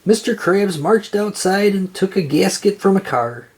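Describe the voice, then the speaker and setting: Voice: mid-range voice, expressive, moderate pace, a little mellow, slightly rough. A storyteller reading a children's picture book aloud in narration style. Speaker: storybook reader.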